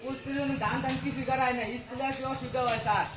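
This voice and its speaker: Man as a high, fast-talking villager petitioner. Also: steady tone. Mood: insistent, complaining. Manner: hurried, insistent appeal. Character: complaining, respectful male villager petitioner. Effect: voice changer. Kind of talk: amateur comic skit speech. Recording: echoey, distant, noisy surroundings.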